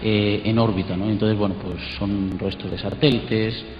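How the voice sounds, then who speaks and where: A man's voice: lowish voice, level tone, moderate pace. A man being interviewed as an expert, explaining informally and hesitantly, with tag questions. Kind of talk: spontaneous explanatory interview talk. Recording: dull playback, echoey hall, faint music.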